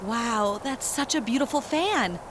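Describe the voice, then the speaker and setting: Woman acting a high, animated, easily amazed sidekick. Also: unhurried, noisy background. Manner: expressive, slangy, exclaiming. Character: Cat, excitable, casual, easily amazed female sidekick. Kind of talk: audio drama acting. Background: music.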